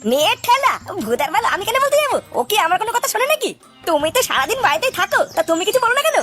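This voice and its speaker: Casting: brash cartoon village boy, extremely high squeaky voice, fast, crude bickering comedy delivery. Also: very animated, sharp tone, soft background music, exaggerated voice. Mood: annoyed. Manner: scolding, crude slangy banter. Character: foul-mouthed, bickering cartoon village boys, brash and quarrelsome. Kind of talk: Bengali comedy cartoon dub.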